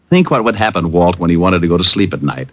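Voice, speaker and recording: An actor playing a cocky, flippant private detective in a vintage radio noir drama, with dry delivery. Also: male voice, sits low. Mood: teasing. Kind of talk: 1940s-50s radio noir acting. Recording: dull old radio recording.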